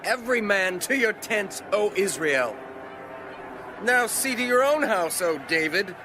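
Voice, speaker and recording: A man playing a defiant rebel calling out loudly in a dramatized audio Bible. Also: high-pitched, animated, a little slow. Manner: loud declamatory calling out.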